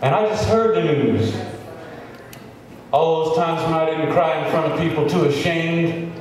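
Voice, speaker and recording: A man's voice: on the high side, a little slow, darkish tone. Male spoken-word poet, emphatic and repetitive in delivery; distant, noisy recording with heavy echo. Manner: impassioned, emphatic, incantatory repetition. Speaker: poetry reader. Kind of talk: spoken-word poetry performance. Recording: very echoey reverberant hall, distant mic, noisy.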